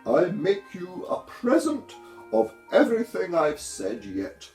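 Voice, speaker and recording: A pompous, moralising storybook Duchess, put on by a man, pitched high and swooping about. The delivery is emphatic and self-satisfied, as if every remark were a grand lesson. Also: wildly swooping pitch, slow, a little mellow, echoey room, recorded from a distance, soft background music, heavily acted. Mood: pleased, emphatic. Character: pompous, moralising, overbearing Duchess, adult woman. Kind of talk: storybook character voice.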